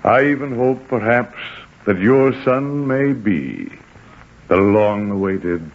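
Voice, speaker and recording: Slow, musing Roman governor, old radio. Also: male voice, on the low side, animated, heavily acted. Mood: wry condescension. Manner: measured, musing, condescending. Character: world-weary Roman governor, mildly mocking, restless. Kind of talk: 1940s radio drama acting. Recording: tinny 1940s radio broadcast.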